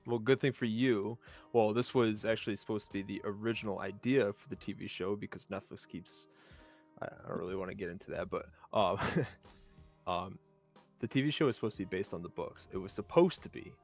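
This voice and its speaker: A man on a discussion panel chatting casually, haltingly, often repeating words as he goes. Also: lowish voice, darkish tone, faint music behind. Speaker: round table panelist. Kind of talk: casual chat.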